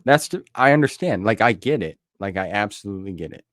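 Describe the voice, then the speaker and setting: A man's voice: lowish voice, animated, fast talker, a bit bright, no echo, clean studio sound. A man hosting an interview podcast, chatting casually in an informal, easygoing way.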